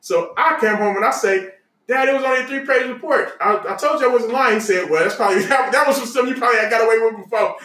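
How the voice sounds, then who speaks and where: A man's voice: very high-pitched, quick pace, bright, forceful tone. An adult man casually telling family stories, candid and repeating himself as he goes. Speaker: podcast host. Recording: roomy echo, slightly distant mic.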